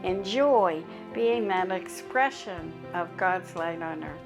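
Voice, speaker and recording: A woman minister leading a church service, guiding a meditation in a calm, measured way and reciting a declaration of principles. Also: on the low side, vocal fry, over music.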